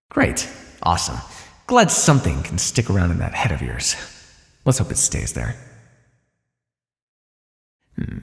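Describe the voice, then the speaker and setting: Deep, echoing male naga, acted by a man. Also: vocal fry, a little mellow, full character voice. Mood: cold warning. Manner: casual, condescending, dry teasing. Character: male naga predator, bored, teasing, menacing cave-dweller. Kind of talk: ASMR fantasy audio roleplay. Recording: echoey, long cave-like reverb.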